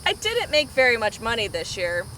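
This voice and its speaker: A woman's voice: a little high, sing-song, moderate pace, sharp tone, a little hiss or hum, low-quality recording. A woman reading a storybook as an easygoing, disorganized schoolboy telling his own story. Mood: matter-of-fact. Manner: plain storybook read-aloud.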